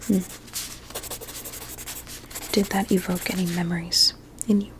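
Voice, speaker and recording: A woman playing a sweetly coaxing, sinister doctor in an ASMR roleplay, with a deliberate, soothing and formal manner. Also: on the low side, little pitch movement, fairly bright tone, a little hiss or hum. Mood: soft, coaxing. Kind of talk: whispered ASMR medical roleplay.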